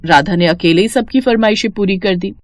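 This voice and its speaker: A woman's voice: mid-range voice, brisk, background noise. A woman narrating a scripted moral story as a storyteller.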